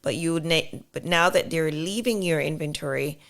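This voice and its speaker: Low, gravelly woman explaining accounting. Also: moderate pace. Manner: patient, explains with step-by-step examples, many fillers. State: slightly hoarse. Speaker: accountant guest.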